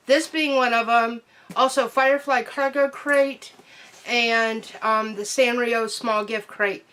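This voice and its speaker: A young woman chatting casually through an unboxing while sick, her voice nearly gone, excitable and full of ums. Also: high-pitched. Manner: excitable, many ums and trailing asides. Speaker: unboxing vlogger.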